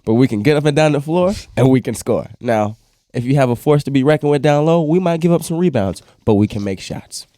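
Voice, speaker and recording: A man's voice: mid-range voice, expressive, fairly quick, warm tone, dry recording. A man on a sports talk panel, chatting in casual slang with a playful, emphatic delivery. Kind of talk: casual, slangy basketball banter.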